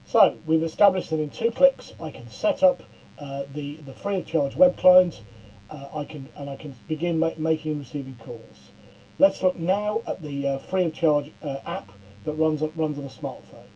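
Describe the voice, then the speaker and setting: Male tutorial presenter, middle-aged, very mellow and slightly high-pitched, stumbles and repeats words, muffled lo-fi sound. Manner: halting, often stammers and repeats words. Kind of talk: informal product demonstration.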